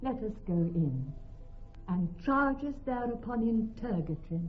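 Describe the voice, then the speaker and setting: Posh poised shakespeare lady, high swooping voice, bossy and witty, dull old radio sound. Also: expressive, unhurried, faint music behind. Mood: poised assurance. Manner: poised, theatrical, articulate. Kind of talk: classical Shakespeare radio acting.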